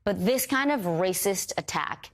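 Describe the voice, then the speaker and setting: A woman's voice: mid-range voice, animated, unhurried. A woman news anchor conducting a broadcast interview in an emphatic, conversational way.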